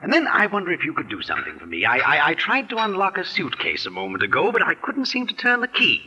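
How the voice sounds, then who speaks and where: A man's voice: fairly high pitch, huge pitch swings, quick pace, pushed and bright, slightly rough, heavily acted. An actor playing an outwardly polite, scheming male murderer with hesitant, offhand courtesy in a 1940s radio mystery. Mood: falsely casual. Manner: hesitant, affectedly casual politeness. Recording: noisy vintage 1940s radio recording.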